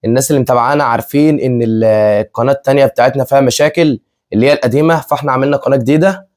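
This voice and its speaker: Young Egyptian man, casual family-vlog host, rapid-fire chatter, mid-pitched. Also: fairly even intonation, darkish tone. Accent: Egyptian Arabic. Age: 20s. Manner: chatty, repeats himself, laughs often. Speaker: vlogger, channel co-host. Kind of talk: casual chat with viewers.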